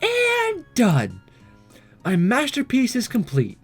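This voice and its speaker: Man putting on a high, bubbly teasing schoolgirl. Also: huge pitch swings, takes it slowly, breathy edge, no echo, soft background music, heavily acted. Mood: bubbly, coaxing.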